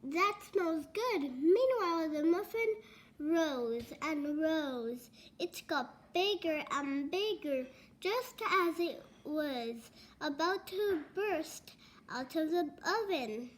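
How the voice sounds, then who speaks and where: A young girl reading a children's storybook aloud in a halting, word-by-word way with small stumbles. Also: sits high, animated. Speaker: read-along reader.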